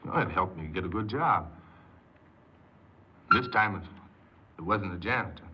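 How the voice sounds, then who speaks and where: An actor playing an earnest, insecure paroled ex-convict who tells his story haltingly in a 1950s radio drama. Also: low voice, animated. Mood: subdued, reflective. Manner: hesitant, halting, repeats words. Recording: noisy muffled 1950s radio recording.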